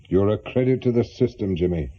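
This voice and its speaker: A man's voice: on the low side, expressive, moderate pace. An actor playing a dry, world-weary middle-aged ex-policeman turned private detective with an English accent, wry and blunt in a British radio drama. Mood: dry, businesslike. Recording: muffled, lo-fi radio drama recording.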